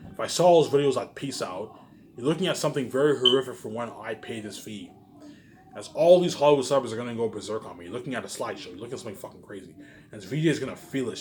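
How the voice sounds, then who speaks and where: A man's voice: mid-range voice, a little hiss or hum. A man giving a rambling, informal monologue, repeating his phrases and swearing often, with words tagged onto the ends of sentences. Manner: repetitive, circles back to the same phrases; frequent swearing. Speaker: solo video speaker.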